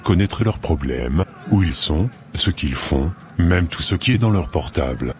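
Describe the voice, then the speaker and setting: Un homme jouant un maître occulte maléfique, très grave. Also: male voice, sits low, lively intonation, measured pace, a little hiss or hum. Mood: scheming. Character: commanding male evil occult master scheming to destroy church members. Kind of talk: dramatic animated dialogue.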